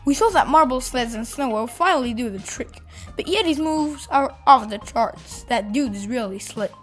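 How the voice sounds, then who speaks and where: Storybook kid adventurer played by a woman: high, eager, determined, light music behind. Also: lively intonation, normal speed. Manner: eager, exclamatory. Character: excited, determined young kid hunting a yeti.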